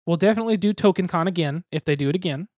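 A young man with a fairly high voice co-hosts a casual podcast, rambling along and joking at his own expense. The sound is dry and close, with no room around it. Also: rapid-fire, a little mellow, no echo. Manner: rambling, self-deprecating, jokey. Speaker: podcast co-host.

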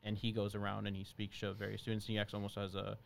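A man's voice: fairly low pitch, completely flat delivery, fast, vocal fry, slight background noise. A young man from Brazil whose first language is Portuguese, a medical student talking earnestly and chattily as a guest. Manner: earnest, chatty with frequent laughs. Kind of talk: casual conversation.

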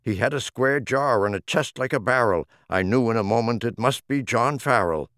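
A man's voice: medium pitch, moderate pace, fairly bright tone, no echo, clean studio sound. A man reading humorous rhyming poems as an audiobook narrator, with a theatrical, rhythmic delivery. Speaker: audiobook reader.

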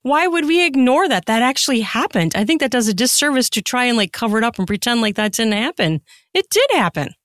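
Higher-pitched woman, dry close sound. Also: big pitch swings, fairly quick, fairly bright tone, no echo. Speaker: podcast guest, author. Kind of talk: casual conversational interview answers.

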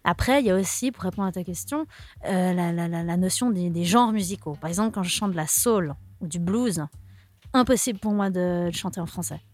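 A woman's voice: fairly low pitch, fairly quick, a little mellow. A young woman, a singer-songwriter, answering interview questions in a casual, hesitant way with many restarts. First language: French.

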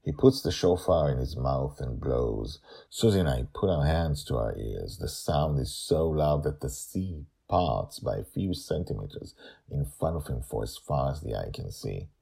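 A very low-voiced man tells a serial story in an emphatic, deliberate way. His voice is gravelly and husky, and it swings freely up and down as he narrates. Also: lively intonation, warm tone.

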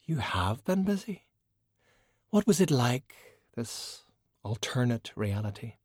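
A man's voice: medium pitch, big pitch swings, takes it slowly, slightly rough, dry recording, studio quality, voice pushed up, exaggerated voice. A sly, self-regarding woman mage performed by a male audiobook reader. The voice sits raised and moves theatrically, with an arch, drawling poise. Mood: arch, curious.

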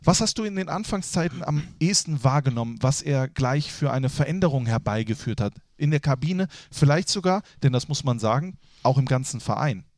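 A man's voice: mid-range voice, expressive, fairly quick, hardly any pauses, darkish tone, dry recording, clean studio sound. A man interviewing a guest in a casual, jokey way, often correcting himself mid-sentence. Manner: jokey, chatty, self-correcting.

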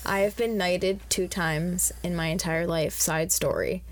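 A woman in her twenties chatting on a podcast, full of fillers with deadpan jokes. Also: fairly low pitch, a little slow, poor audio. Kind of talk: casual podcast chat.

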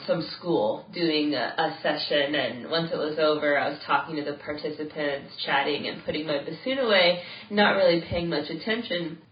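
A woman co-hosting a podcast, talking casually while stuffy from a cold and laughing often as she tells stories. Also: on the low side. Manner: laughs often, animated storytelling. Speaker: podcast co-host. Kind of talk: casual chat. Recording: roomy, distant, dull lo-fi sound.

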